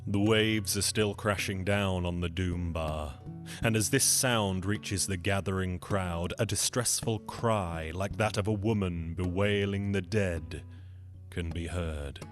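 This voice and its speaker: A man's voice: deep voice, slow pace, soft background music. A man telling a folktale as a storyteller, in a dramatic, theatrical narrating style.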